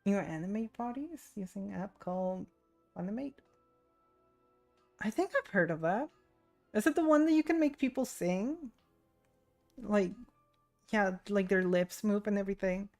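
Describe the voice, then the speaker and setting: A woman's voice: medium pitch, measured pace, long pauses, a little mellow. A woman livestreamer chatting informally and self-deprecatingly with viewers while she plays a game. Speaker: livestreamer, VTuber. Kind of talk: casual chat with viewers while gaming. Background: game audio and music.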